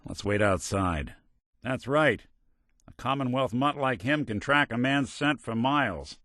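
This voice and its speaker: An American actor playing a world-weary, gruff but decent synthetic private detective in a noir game, with a dry, sardonic manner. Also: male voice, medium pitch, lively intonation, a little slow, sharp tone, dry recording. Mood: businesslike. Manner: dry, sardonic, old-time gumshoe slang. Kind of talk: noir hard-boiled detective game dialogue.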